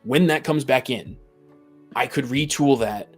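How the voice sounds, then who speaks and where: A man's voice: medium pitch, measured pace, husky, faint music behind. A talkative man in a casual group chat, stammering and repeating the starts of his phrases. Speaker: tabletop game master, podcast guest.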